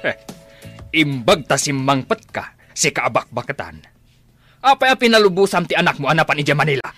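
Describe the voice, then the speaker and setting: A man's voice: a little high, lively intonation, brisk, bright, forceful tone, faint music behind. A man playing a grumbling, worried older rural father in an Ilocano-accented radio drama, scolding as he talks.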